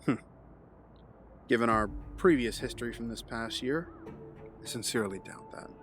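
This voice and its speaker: An actor playing a calm, condescending, menacing villain mastermind in an audio drama, measured and taunting. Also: male voice, mid-range voice, soft background music, lightly acted. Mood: coolly confident.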